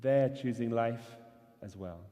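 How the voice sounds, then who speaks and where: A man giving a measured, earnest sermon as a rabbi in a synagogue. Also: medium pitch, mellow, highs cut off, soft background music. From not Israel. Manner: measured, earnest preaching cadence. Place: synagogue sanctuary. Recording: long hall reverb.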